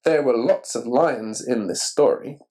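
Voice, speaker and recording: A man reading a children's picture book aloud expressively and deliberately, putting questions to the listener. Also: mid-range voice, darkish tone. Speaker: story reader.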